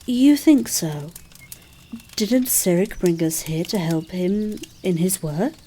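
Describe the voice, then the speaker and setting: A woman playing a gentle, modest, hopeful character in an audio drama, speaking thoughtfully and with some hesitation. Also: on the low side, takes it slowly, slight background noise. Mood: tentative, hopeful. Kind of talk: scripted audio drama dialogue.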